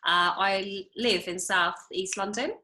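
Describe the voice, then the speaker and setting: A woman who grew up in France, telling her career story in a relaxed interview and laughing often. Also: medium pitch. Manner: chatty, laughs often, quick asides. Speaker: interior designer, guest.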